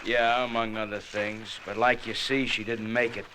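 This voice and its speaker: A man's voice: fairly low pitch, level tone, moderate pace, close to an everyday voice. An American actor playing a menacing, gloating young gunman in an old radio crime drama, with a taunting, slangy delivery. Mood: cocky menace. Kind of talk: 1940s-50s radio crime drama acting. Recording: noisy vintage radio recording.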